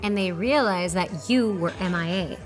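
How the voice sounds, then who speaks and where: A woman's voice: lowish voice, normal speed, close to an everyday voice. A woman playing a guarded, level-headed operative in a naturalistic drama, dry and pointed. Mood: skeptical. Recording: music under dialogue.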